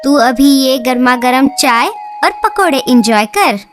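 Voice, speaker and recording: A woman with a high, light voice voices the birds in a children's cartoon. Her delivery is slow and sing-song, like a storybook. Soft music plays faintly under her. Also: animated. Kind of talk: cartoon dialogue acting.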